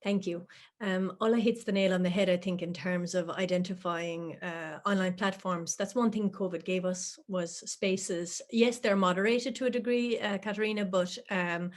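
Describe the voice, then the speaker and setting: A woman's voice: on the low side, steady tone, moderate pace. Hesitant woman lecturer. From Ireland. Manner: hesitant, many "um" and "uh" fillers. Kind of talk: panel discussion.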